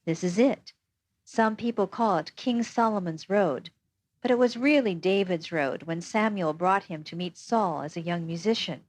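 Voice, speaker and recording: Casting: woman reading a patient older Swiss man in a storybook, unhurried and low-voiced. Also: lightly acted. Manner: calm, unhurried, reassuring.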